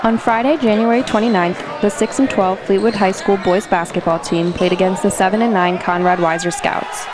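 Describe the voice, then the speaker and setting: A teenage girl reading a school sports report in a steady, scripted way. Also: medium pitch, moderate pace, few pauses, music in the background, crowd noise. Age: teens.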